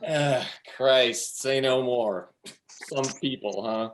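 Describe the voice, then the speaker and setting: A man's voice: mid-range voice, slow, lightly acted. A man playing a crass, vain pretzel guy in a comedic play reading, brash and bossy. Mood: brash, annoyed.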